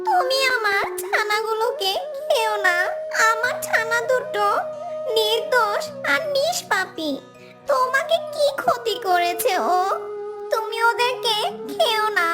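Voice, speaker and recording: Woman playing a small gentle mother bird, very high voice, swooping intonation, plaintive, sorrowful, cartoon. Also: lively intonation, slow, exaggerated voice. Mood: desperate pleading. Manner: plaintive, imploring. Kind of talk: cartoon character dialogue. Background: music.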